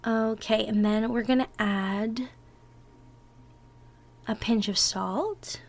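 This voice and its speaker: Woman doing a bubbly bossy girlfriend for asmr roleplay, kinda high voice, chatty, thinks out loud, affectionate. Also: steady tone, slow. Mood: focused, soft. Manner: chatty, playful, gently bossy, thinking aloud. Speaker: roleplay audio performer. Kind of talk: girlfriend ASMR roleplay, one-sided conversational acting.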